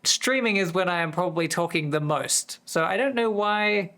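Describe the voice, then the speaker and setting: A streamer with a voice that is going hoarse, chatting casually to viewers in a distracted, rambling way. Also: mid-range voice, moderate pace, pushed and bright. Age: adult, working full-time. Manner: easily distracted, rambling with many restarts. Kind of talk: casual live-stream chat.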